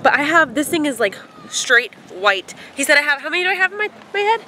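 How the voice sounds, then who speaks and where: A woman's voice: sits high, very animated, fast talker, bright, forceful tone, slight background noise. A woman in her twenties vlogging a family outing, chatty and giggly, filmed on a handheld camera at arm's length. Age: 20s. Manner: chatty and giggly, lots of laughing. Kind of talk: casual family vlog. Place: aquarium and seaside street, outdoors.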